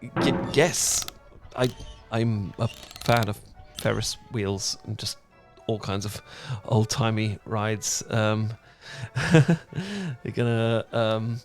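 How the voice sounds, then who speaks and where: A man's voice: on the low side, soft background music. Man playing a stammering, awkward British dork. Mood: nervous, polite. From Britain. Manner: stammering, halting, rambling, apologetic. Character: awkward, flustered, dorky young British man on a first meeting. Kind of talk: intimate romantic ASMR audio roleplay.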